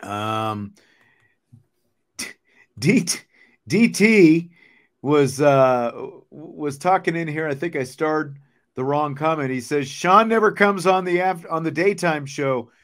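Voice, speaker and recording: A man's voice: on the high side, lively intonation, slow, fairly bright tone. Man hosting a casual sports podcast. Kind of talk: casual sports chat answering viewer comments.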